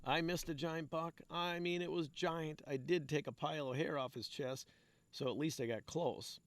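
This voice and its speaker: A man's voice: on the high side, fairly even intonation, moderate pace, no room sound, close to an everyday voice. A man reading as Luke, earnest young hunter. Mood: dejected. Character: Luke, earnest young boy on his first deer hunt.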